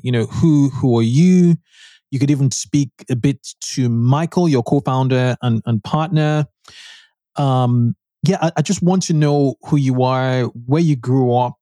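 Guy hosting a podcast, warm low-key voice, kinda halting, repeats himself a lot. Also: dry recording. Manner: halting, repeats words when asking questions. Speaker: podcast host. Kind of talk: podcast interview with read sponsor ad.

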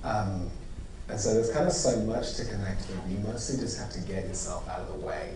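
Interview actor from Zimbabwe, low voice, reflective and rambling, recorded far off in an echoey, noisy room. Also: male voice, expressive, a little fast. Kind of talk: press junket interview.

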